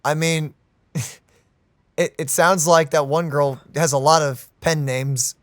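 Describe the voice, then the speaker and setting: A woman's voice: sits low, steady tone, slow, dry recording, clean studio sound. A woman streaming a game and giving casual, conversational reaction commentary.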